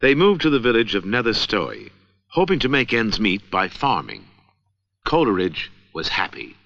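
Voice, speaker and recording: A man's voice: on the low side, very animated, moderate pace, gravelly, fairly bright tone, no echo. A man giving scripted narration for an educational film. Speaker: documentary narrator. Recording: dull old 16mm film soundtrack.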